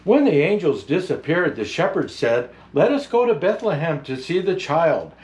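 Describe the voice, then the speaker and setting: Kindly old Santa storyteller, played by a man: unhurried, grandfatherly, very animated pitch, children's picture book read-aloud. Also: poor audio. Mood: gentle storytelling.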